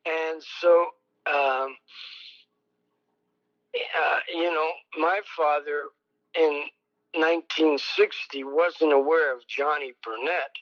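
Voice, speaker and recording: An older man, a songwriter, recalling his past slowly in an interview, with many pauses and a fairly high voice. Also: fairly even intonation, lo-fi sound. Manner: slow, rambling, many ums and pauses. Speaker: songwriter guest. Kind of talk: reflective oral history interview.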